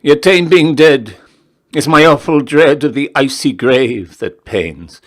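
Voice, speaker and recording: A man's voice: a little high, expressive, slow pace, pitched above the performer's own voice. A man voicing a freezing, dying gold prospector who pleads and moans insistently in a recited story poem. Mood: dread, insistent pleading.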